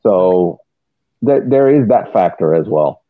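A man's voice: deep voice, animated, slow, no room sound, phone-quality sound. A man giving analytical sports commentary as a podcast panelist, explaining at length and repeating words as he goes.

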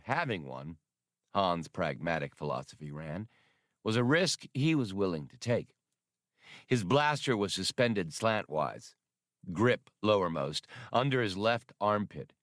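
Deep-voiced male audiobook narrator, close and dry. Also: fairly bright tone, dry recording. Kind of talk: scripted fiction narration.

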